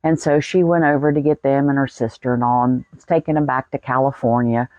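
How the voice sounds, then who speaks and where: A woman's voice: rumbling low, steady tone, brisk, mellow, dry recording, highs cut off. An American woman in her sixties telling a personal story in a folksy, plain-spoken way. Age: 60s. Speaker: vlogger.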